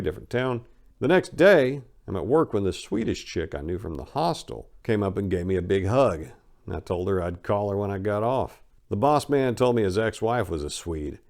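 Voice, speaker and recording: A man from the United States giving a dramatic first-person reading of a memoir in an irreverent, gritty storytelling manner. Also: lowish voice. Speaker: audiobook narrator.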